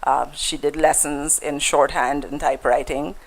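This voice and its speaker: A woman's voice: sits low, steady tone, normal speed, low-quality recording. A woman with a Guyanese accent, a guest in a conversational interview, telling stories in a reflective way with frequent fillers and restarts.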